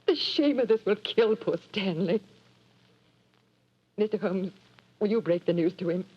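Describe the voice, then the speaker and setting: An actress playing a distraught, tearful army wife, pleading in a breathy voice, in 1940s radio style. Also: female voice, mid-range voice, unhurried. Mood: anguished, pleading. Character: distraught, ashamed British general's wife. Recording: dull old radio recording.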